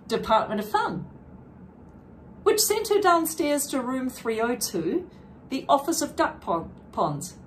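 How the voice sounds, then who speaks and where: A woman reading a rhyming picture book aloud expressively, with deliberate dramatic emphasis. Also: on the high side, slight background noise, rough recording. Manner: deliberate, dramatic emphasis with brief explanatory asides. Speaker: children's book reader.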